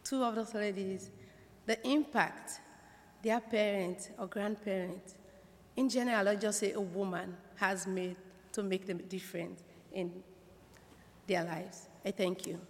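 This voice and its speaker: A woman's voice: mid-range voice, steady tone, unhurried. A woman giving a personal testimony to a congregation. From Africa. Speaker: church member introducing speakers. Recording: echoey hall with long reverb.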